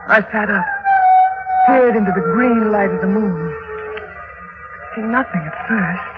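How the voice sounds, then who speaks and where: An actress playing a frightened, anxious young wife in halting, pleading 1940s radio drama style. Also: female voice, mid-range voice, natural voice. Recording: old muffled radio recording, music behind.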